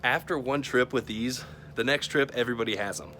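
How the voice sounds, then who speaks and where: A man's voice: medium pitch, a little fast, bright, forceful tone. An American man talking casually to camera as a YouTube host, in an informal, conversational way. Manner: informal, conversational, chatty phrasing. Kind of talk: casual product review and giveaway talk to camera. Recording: clearly noisy ambient background.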